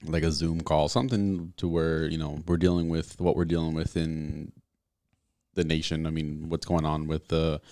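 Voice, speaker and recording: Deep-voiced easygoing young man. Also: level tone, normal speed, mellow, dry recording, clean studio sound. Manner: easygoing, self-assured. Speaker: podcast co-host. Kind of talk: casual chat.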